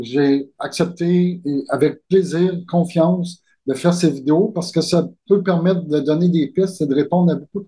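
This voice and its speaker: A man with a Québécois accent talks as an interview guest. He speaks slowly and deliberately, weighing each phrase. His voice has a mellow, dark tone at an ordinary male pitch. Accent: Québécois French. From Quebec, Canada. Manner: slow, measured, deliberate delivery. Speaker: guest author. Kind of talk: conversational interview.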